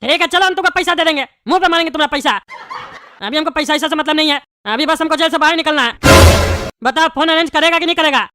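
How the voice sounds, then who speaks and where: Cartoon comedy role: scheming, hot-tempered prisoner dad played in a womanlike voice, Bihari-style Hindi, rapid-fire and high, over clear noise. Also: lightly acted. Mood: irritated. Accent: eastern Indian (Bihari-style) Hindi. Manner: hot-tempered, scolding, bossy, colloquial. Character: angry scheming jailed father, bald cartoon character. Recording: clearly noisy background.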